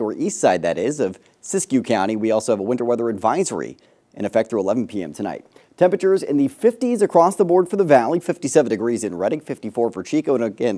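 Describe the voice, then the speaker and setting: Male TV meteorologist, warm low-mid voice, upbeat and steady forecast read. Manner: upbeat, steady on-air delivery. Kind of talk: broadcast weather forecast.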